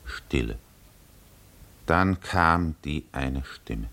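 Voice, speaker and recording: Low, slow epic storyteller. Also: male voice, animated, full character voice. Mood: tense, hushed. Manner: measured, dramatic, hushed at times. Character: grave, suspenseful storyteller of an ancient epic. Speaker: narrator. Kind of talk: literary scripted narration, 1950s radio drama.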